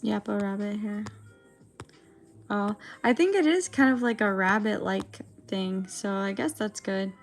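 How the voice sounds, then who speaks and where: A young woman with a raspy, dry-throated voice, chatting casually and rambling with lots of fillers as she draws. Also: medium pitch, a little slow, faint music behind. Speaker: digital artist streamer. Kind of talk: casual chat while drawing.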